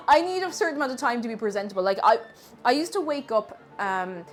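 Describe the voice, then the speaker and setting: A woman's voice: fairly high pitch, normal speed. Irish woman, sniffly cold, chatty streamer, faint music behind. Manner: chatty and animated, thanks viewers warmly. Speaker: live streamer. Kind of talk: casual chat while cooking on stream.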